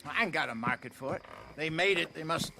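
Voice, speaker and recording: An actor playing a smooth-talking male outlaw who bargains in a sly, genial drawl in a video game cutscene. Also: fairly high pitch, animated, a little fast, noisy background.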